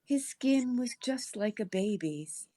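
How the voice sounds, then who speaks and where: Woman playing middle-aged landlady, sugary and doting, creepy undertone, drawn-out gushing, swooping intonation, horror storybook. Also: fairly high pitch, lively intonation, warm tone.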